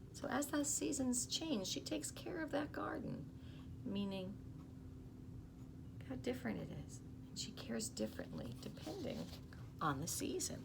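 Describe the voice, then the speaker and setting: A woman in her fifties reads a picture book aloud to children, expressively and unhurriedly, with soft explaining asides. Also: high voice. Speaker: school storytime reader. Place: small office, indoors. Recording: clearly noisy small-room recording.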